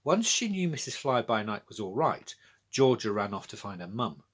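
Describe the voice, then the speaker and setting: A man's voice: on the low side, very animated, normal speed, a bit bright, husky. A British man narrating a scripted children's storybook as its author, reading aloud.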